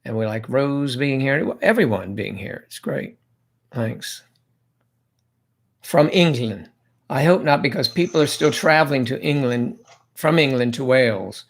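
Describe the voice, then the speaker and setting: A man hosts a casual livestream, chatting at an easy, medium pitch. He rambles from topic to topic and reads viewer comments aloud to his guests. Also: a little slow, vocal fry. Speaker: livestream host.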